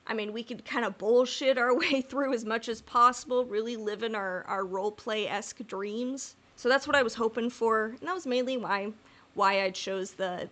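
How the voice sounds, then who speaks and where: A woman's voice: on the high side, fairly bright tone. Playful, rambling woman podcast co-host. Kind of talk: casual fan discussion.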